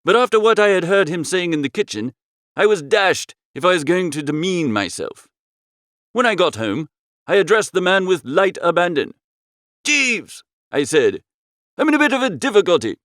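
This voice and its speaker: A man reads a comic novel in the first person. His voice is fairly high and very animated, breezy and theatrical, with a dry, close sound. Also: normal speed, dry recording. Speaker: audiobook narrator.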